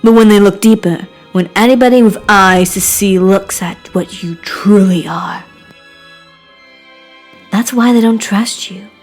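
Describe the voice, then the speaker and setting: A woman voicing an obsessive, lovesick madman, theatrical and crooning, with breathy whispers, in a game character reel. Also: medium pitch, unhurried, a little mellow, soft background music, natural voice. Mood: ominous, intimate. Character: obsessive lovesick madman, tender then menacing suitor.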